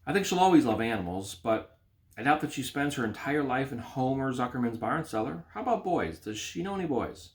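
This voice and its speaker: A man's voice: medium pitch, lively intonation, a little fast, pitched above the performer's own voice, lightly acted. Man playing a musing, reassuring village doctor. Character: thoughtful, unworried bearded village doctor.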